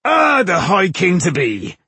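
Rasping, cocky, boozy dwarf. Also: male voice, fairly high pitch, sing-song, a little slow, sharp tone, no echo, voice pushed up, full character voice. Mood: jovial, mocking. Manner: boastful, breezy, impudent. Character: brash, boozy male dwarf mason, cheeky trickster.

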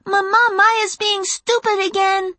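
Bossy, high-voiced six-year-old girl. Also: expressive, unhurried, dry recording. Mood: shrill complaint. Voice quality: high, childlike. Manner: matter-of-fact, tattling.

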